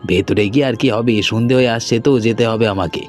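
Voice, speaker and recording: A friendly young village-cartoon businessman, acted by a man. His voice is fairly low and his talk is casual, like an old friend's. Soft music sits behind him. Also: a little fast, close to an everyday voice. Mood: polite, friendly. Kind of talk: cartoon dialogue acting. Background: background music.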